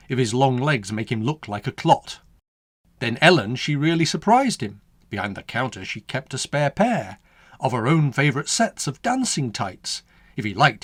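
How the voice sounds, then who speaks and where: A man, the author, reading his own rhyming storybook poem aloud with an expressive, sing-song delivery. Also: mid-range voice, no echo.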